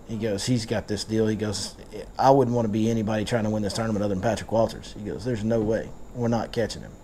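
A man, hosting a casual fishing seminar and talking in animated bursts in a tackle shop. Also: fairly low pitch, slight background noise. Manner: animated, gestures a lot, fast bursts. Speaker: fishing guide and seminar host. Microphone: lapel mic.

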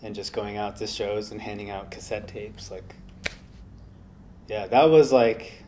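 A man in his thirties talking about his life in a relaxed interview, soft-spoken and rambling with lots of fillers. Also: on the low side, unhurried, background noise. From United States. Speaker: interviewee, guitarist. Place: indoor workshop studio.

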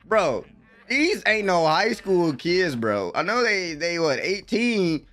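A young adult man giving excited, slangy live reaction commentary as a host, full of hyped exclamations. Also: high-pitched, slow. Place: small room at home, indoors. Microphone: desk microphone, close.

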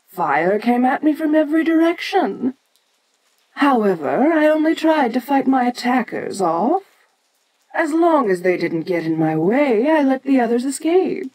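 A woman's voice: fairly high pitch, darkish tone, exaggerated voice, poor audio. A woman playing an ancient, domineering giant kaiju queen in an audio roleplay, speaking with imperious condescension. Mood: lofty, measured. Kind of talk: scripted audio roleplay addressed to the listener.

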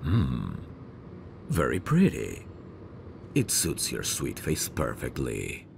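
Man playing very deep, gravelly suave mafia heir. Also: huge pitch swings, slow, background noise, heavily acted. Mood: candid, confiding. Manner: smooth-talking, courtly, flirtatious. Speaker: voice actor. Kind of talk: ASMR roleplay, intimate one-sided dialogue.

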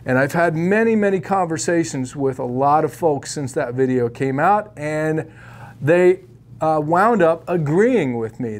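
Middle-aged male shop instructor, slightly raised pitch, chatty and opinionated, filler-heavy delivery, clean lapel sound. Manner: folksy, opinionated, lots of ums and uhs. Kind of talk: casual tutorial to camera. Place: fly shop studio set, indoors. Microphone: lapel mic.

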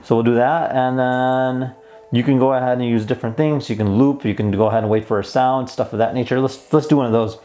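A man's voice: mid-range voice, steady tone, fairly quick, mellow. A man in his twenties giving a casual hands-on product demo in an easygoing, mildly enthusiastic way. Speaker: host of a toy review channel. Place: plain indoor room at a table.

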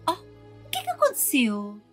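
Cartoon fan dub: woman as spoiled rich belle, very lively swooping delivery, theatrical. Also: on the high side, moderate pace, soft background music. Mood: gushing. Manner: gushing, theatrical, giggly. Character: spoiled bubbly young Southern belle heiress. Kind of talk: fan dub of animated film.

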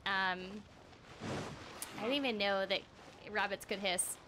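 A woman's voice: medium pitch, fairly even intonation, unhurried, crowd noise. A young woman chatting casually and playfully on a livestream while she plays a video game. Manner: playful, bubbly, giggly. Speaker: streamer and voice actor. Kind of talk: casual livestream chat over gameplay. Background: video game music.

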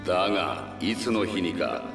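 A gentle, hopeful old giant in a game flashback. The low, stately voice rings with echo from a distance, over clear music. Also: male voice, lively intonation. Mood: contemplative, hopeful. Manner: stately, contemplative, measured. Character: wise, gentle, hopeful male giant elder. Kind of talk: dramatic video game flashback acting. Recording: echoing, distant flashback effect.

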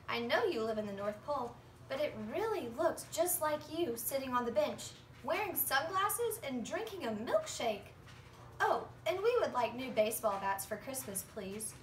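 An eager little kid, read by a young woman in a high, swooping voice, earnest and full of questions. It is a storybook read-aloud, recorded from a distance in a roomy space. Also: fairly bright tone, heavily acted. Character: eager, polite young children writing letters to Santa. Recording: roomy, distant phone recording with faint noise.

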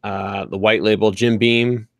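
30s guy in a home workshop, low voice, chill, laughs a lot, close dry mic. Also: little pitch movement, measured pace, vocal fry, no echo. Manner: laid-back, easily laughing. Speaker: co-host. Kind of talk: casual chat on a video call. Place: home workshop with tool wall. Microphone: headset with desk mic.

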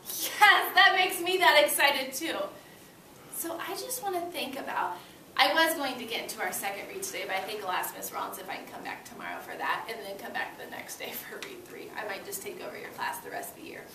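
A young woman with a high voice talks to young children as their teacher. She is expressive and encouraging, phrasing things for little listeners. The sound is roomy and noisy, picked up from across a classroom. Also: brisk, distant mic. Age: young adult. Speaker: elementary school teacher. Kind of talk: interactive read-aloud to young children. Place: classroom with children seated on the floor. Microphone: far camera.

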